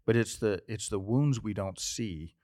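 A man's voice: on the low side, measured pace, a little mellow, dry recording, clean studio sound. A man hosting a faith podcast, affirming his guest and asking follow-up questions. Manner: affirming, frequent "mm-hmm" and "yeah", asks follow-up questions. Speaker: podcast host.

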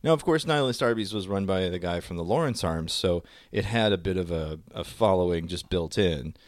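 A man's voice: sits low, brisk, no room sound, clean studio sound. A man co-hosting a casual comedy podcast, telling stories in a wry, wordy way with wisecracks. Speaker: podcast co-host.

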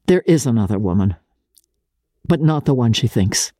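A woman playing an earnest, lovestruck young gentleman who speaks hesitantly and intensely in a dramatic audiobook reading. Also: extremely low pitch, lively intonation, no echo, studio quality. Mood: grave, intense. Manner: halting, earnest, repeating words.

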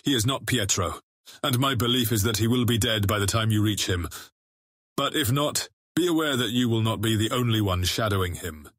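A man's voice: lowish voice, moderate pace, fairly bright tone, dry recording, clean studio sound. A man playing a grave, terse ranger in a fantasy audiobook drama, speaking in clipped, formal phrases. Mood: solemn warning. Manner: clipped, solemn, formal speech.

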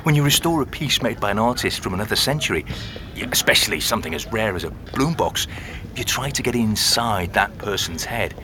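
Low-voiced, thoughtful restorer played by a man. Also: animated, noisy background, close to an everyday voice. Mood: intrigued. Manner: unhurried, explanatory, conversational with hesitations. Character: friendly, thoughtful male music-box restorer, fascinated by mysteries. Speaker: actor playing an interviewee. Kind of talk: naturalistic audio drama dialogue.

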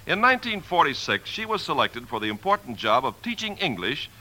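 Brief: American male announcer, mid pitch, forceful and highly animated, earnest scripted 1950s radio read. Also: a little fast, low-quality recording. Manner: earnest, declarative.

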